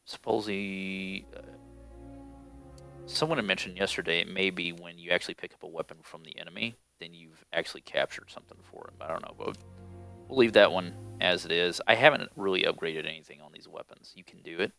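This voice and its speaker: A man with a very low voice talks through a video game in a loose, meandering way, full of ums and restarts. He sounds a little stuffed up and nasal from a cold, and faint music plays quietly behind him. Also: vocal fry. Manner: rambling, unhurried, many ums and restarts. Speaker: gaming video host. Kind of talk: casual gameplay commentary.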